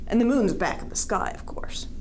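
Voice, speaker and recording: Lady reading a kids picture book, kinda high lively voice, asks questions as she goes, bit of background noise. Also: normal speed. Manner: expressive, questioning, directly addressing listeners. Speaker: storytime host reading a picture book aloud. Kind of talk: animated read-aloud with asides to young children.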